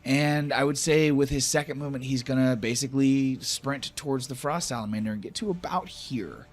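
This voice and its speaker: A man's voice: mid-range voice, a little fast, faint music behind. A man running a tabletop fantasy game, mixing dramatic storytelling with casual, sweary table talk. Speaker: game master.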